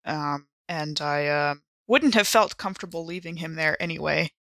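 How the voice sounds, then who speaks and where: A woman's voice: sits low, moderate pace, pushed and bright, no echo, studio quality. A woman talking casually and in character as a player in a tabletop roleplaying game.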